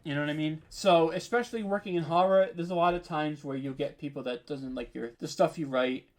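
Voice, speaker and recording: A man's voice: on the high side, brisk. Casual, candid man being interviewed. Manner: informal and candid, with frequent "like" and "you know". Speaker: interview guest, horror audio drama writer.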